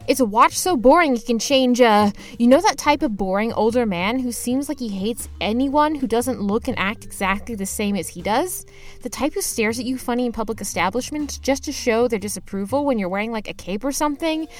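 A woman playing a scrappy, broke young mover who tells their misadventures in an expressive, slangy, playfully dramatic comedy audio-drama style. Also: fairly high pitch, normal speed, soft background music, natural voice. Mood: salesy, cheeky. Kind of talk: first-person narrated comedic audio drama with acted dialogue.

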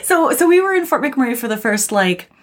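A woman's voice: high-pitched, sing-song, brisk. A woman, an actor guest, telling rambling stories about growing up in Alberta. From Alberta, born in Australia. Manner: rambling storyteller with restarts and laughs. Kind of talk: interview.

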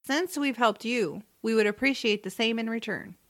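A woman playing an earnest, noble female traveller in a family fantasy audio drama, speaking formally and gravely. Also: fairly high pitch, moderate pace, close to an everyday voice. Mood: measured.

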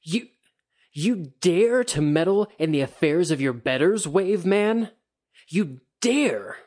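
A drunk samurai bellows slurred insults, his voice jumping high and loud with contempt, as a man reads him aloud. He sounds belligerent and sloppy, spoiling for a fight in a storybook street brawl. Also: lively intonation, slow pace, full character voice. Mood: outraged.

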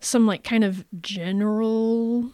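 A young woman hosting a casual podcast chat, relaxed and playful, often laughing between facts. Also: on the high side, slow, no echo, clean studio sound. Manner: playful, giggly, laid-back. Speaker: podcast host.

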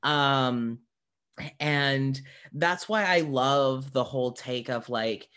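Informal male podcast host with halting phrasing. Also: medium pitch, sharp tone, smooth. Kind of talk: casual podcast chat.

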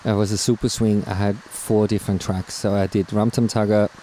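A middle-aged man, a native German speaker and musical theatre performer, chatting casually on a podcast, halting with restarts and dry humor. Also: fairly low pitch, flat delivery, dark tone, slight background noise, rough recording.

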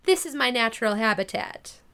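A woman's voice: fairly high pitch, animated, brisk, vocal fry, very noisy. A woman acting in an audio drama as a stubborn, sarcastic older sister in her twenties, with dry, blunt comebacks. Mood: playful.

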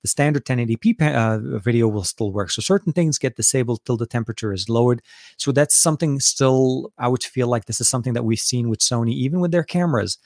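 A man with a Lebanese accent hosts a relaxed live Q&A, answering viewers' questions as they come in. He talks in a loose, rambling way and often restarts his sentences. His voice sits at an ordinary pitch and sounds dry and close to the mic. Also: quick pace, dry recording. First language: Arabic. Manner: rambling, many fillers and restarts. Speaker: tech channel host answering live chat. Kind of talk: casual live Q&A.

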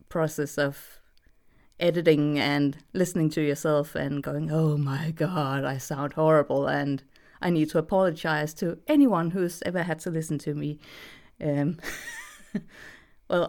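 A woman's voice: low voice, darkish tone. A young adult woman with a Danish accent, a voice actress talking as a guest, hesitant with many fillers and frequent laughs. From Denmark. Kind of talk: casual interview chat.

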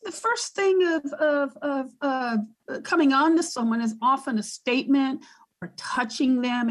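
Casting: woman with a big booming voice, pitched a bit high, emphatic panel guest. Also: rough recording. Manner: emphatic, repeats herself while thinking. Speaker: guest advocate. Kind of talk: panel discussion.

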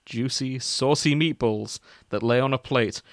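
A man's voice: mid-range voice, unhurried, no room sound, studio quality. A man hosting a comic fan fiction reading, narrating theatrically with amused, casual banter.

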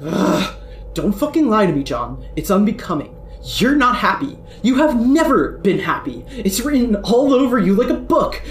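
Woman as a brash, sarcastic troll girl, swooping lively delivery, roomy echo. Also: medium pitch, moderate pace, lightly acted. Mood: insistent. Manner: sarcastic, taunting, swears freely. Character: cocky brash female troll gamer. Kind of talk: amateur audio drama fandub. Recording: echoey room with faint noise.

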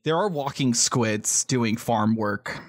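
Male co-host. Average pitch, informal joking chat, close and dry podcast sound. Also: takes it slowly, no echo. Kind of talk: casual, jokey podcast chat.

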